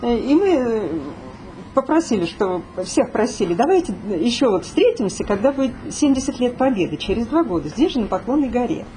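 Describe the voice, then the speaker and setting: Woman telling a lively anecdote, animated pitch, slightly high, faint noise. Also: brisk, rough recording. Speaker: participant.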